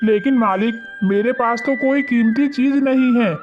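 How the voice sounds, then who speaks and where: A man playing an earnest, respectful young poor farmer in an animated moral story. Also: extremely high pitch, moderate pace. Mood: pleading, respectful. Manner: respectful, pleading. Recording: muffled lo-fi audio under loud music.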